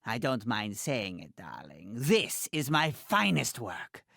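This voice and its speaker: Game role: haughty little middle-aged woman designer, theatrical, wildly animated pitch, sharp bright tone. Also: slow pace, gravelly, no echo, clean studio sound, full character voice. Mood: haughty, proud. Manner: haughty, dramatic, clipped delivery with affectionate condescension. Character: tiny, imperious, theatrical middle-aged female fashion designer for superheroes. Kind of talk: video game character voice lines.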